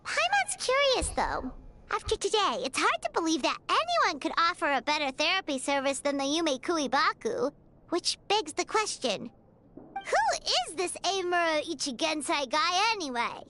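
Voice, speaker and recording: A woman voicing a tiny, excitable girlish companion who talks about herself in the third person. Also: very high-pitched, moderate pace, a little hiss or hum, heavily acted. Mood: eager.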